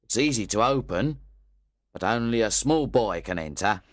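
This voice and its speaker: A man voicing a rough, menacing male housebreaker who speaks slowly and threateningly. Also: fairly low pitch, expressive, no room sound, studio quality, natural voice. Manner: slow, threatening.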